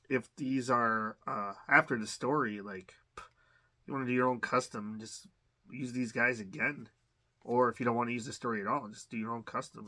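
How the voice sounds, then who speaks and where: A man hosting an unboxing video, talking casually with lots of fillers and restarts and a slight cough. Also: medium pitch. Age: adult. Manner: relaxed, rambling, many fillers and restarts. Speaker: unboxing channel host.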